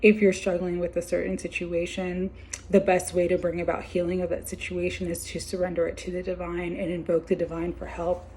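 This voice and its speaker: Low, gravelly woman losing her voice. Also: monotone, a little fast, a little hiss or hum. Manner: gentle, meandering, many fillers. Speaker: tarot card reader. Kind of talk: weekly tarot reading.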